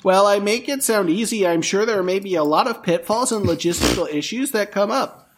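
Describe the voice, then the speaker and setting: A man on a comedy podcast reading a forum post aloud in a flat, matter-of-fact way. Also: high voice, brisk, background noise.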